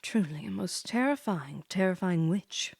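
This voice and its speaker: A woman voicing an ominous game character recounting a dark legend in a hushed, dramatic way. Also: lowish voice, animated, measured pace, vocal fry, breathy edge, no room sound, studio quality, full character voice.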